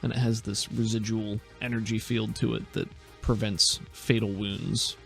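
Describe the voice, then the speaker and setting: A man's voice: lowish voice, flat delivery, moderate pace, creaky, soft background music. A man narrating a tabletop game as game master in a conversational, descriptive, improvised way.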